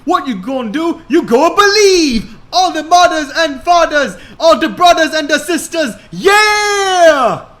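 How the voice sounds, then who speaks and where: A man voicing an exuberant panda performer, shouting chants like a hype man in a parody reading. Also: sing-song, unhurried, few pauses, heavily acted. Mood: hyped, exuberant. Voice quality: high, shouted. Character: hyped-up singing panda hero working a crowd.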